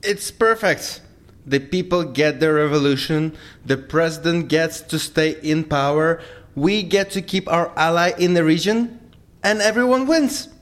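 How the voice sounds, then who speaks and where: An actor playing a scheming, anxious government agent with a businesslike, pressing manner in an audio drama. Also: male voice, a little high, a little slow, fairly bright tone. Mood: persuasive. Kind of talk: audio fiction acting.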